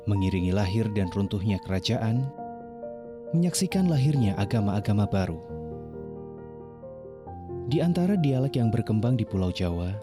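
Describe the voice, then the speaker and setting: A man giving scripted, measured documentary narration. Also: lowish voice. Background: music.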